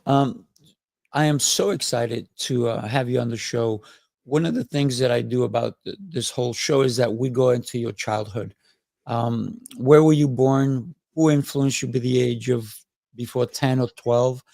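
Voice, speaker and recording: A man's voice: medium pitch, steady tone, moderate pace, creaky, darkish tone, dry recording. A man hosting a podcast and interviewing a guest, encouraging him and murmuring "mm" as he listens. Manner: encouraging, frequent "mm" backchannels. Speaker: podcast host.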